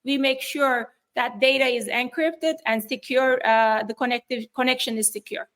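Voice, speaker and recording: A woman with a Turkish accent talks through her work and the technology her company offers. Her voice sits a little high for a woman, and she explains things patiently, often stopping on fillers and starting sentences again. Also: fairly even intonation, moderate pace, fairly bright tone. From Turkey. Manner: explanatory, many fillers and restarts. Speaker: cybersecurity executive guest. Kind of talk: interview answers.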